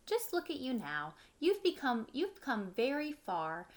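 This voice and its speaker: A woman's voice: high-pitched, unhurried. Woman reading aloud to children. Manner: expressive storybook reading, simple explanations for children. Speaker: teacher reading a picture book aloud. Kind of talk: children's read-aloud with short explanatory asides. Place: living room at home, indoors.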